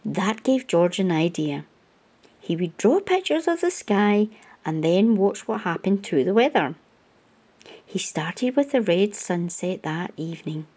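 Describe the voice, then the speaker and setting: A woman hosts a storytime, reading a picture book to children. Her voice is soft-edged and mellow at a medium pitch. She moves slowly through the sentences, with lively rises and falls in her storyteller phrasing.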